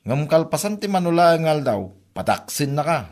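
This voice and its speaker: A man's voice: fairly high pitch, very animated, moderate pace, a little mellow. A man playing a plain-spoken husband who chides and advises his wife in a comedy radio drama. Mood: admonishing.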